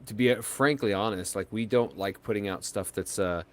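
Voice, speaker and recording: Rambling, low-voiced male podcast host. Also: normal speed. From United States. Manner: rambling, hesitant, lots of fillers and restarts. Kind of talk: casual chat.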